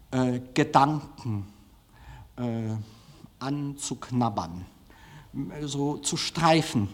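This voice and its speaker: A man giving a live public lecture in a hall, hesitant, halting and self-deprecating as he speaks. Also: fairly high pitch, fairly bright tone. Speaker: film director giving a lecture. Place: large formal auditorium, indoors.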